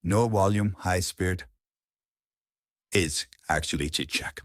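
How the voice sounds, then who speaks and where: Man, low-pitched voice, public speaking coach, explanatory, informal lesson delivery, dry sound. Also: takes it slowly, a bit bright, dry recording.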